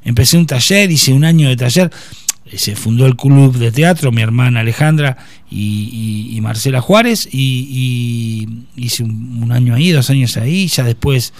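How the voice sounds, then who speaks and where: A man's voice: mid-range voice, normal speed, dry recording. A man in his fifties with an Argentine accent, telling his life story as an interview guest, with many hesitations and restarts. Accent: Argentine Spanish. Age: 50s. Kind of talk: casual interview.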